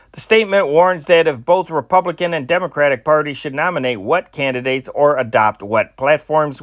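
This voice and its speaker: A man reading old newspaper stories aloud as a newsreader, in a measured way with occasional slips and restarts, and datelines in a lifted voice. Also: a little high, dry recording, studio quality. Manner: dateline cities read in a rising, louder voice.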